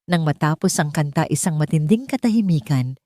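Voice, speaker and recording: A woman's voice: sits low, expressive, a little fast, mellow, no room sound, studio quality. A woman narrating a scripted dramatic story in a solemn, sentimental manner.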